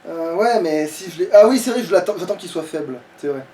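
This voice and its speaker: A high-pitched male voice, chatting and joking through a game. The tone is soft and mellow rather than sharp. He sounds some distance from the mic, with slight noise in the background. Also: expressive, a little fast, reverberant. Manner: joking, swearing. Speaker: let's play player. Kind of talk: casual gaming chat.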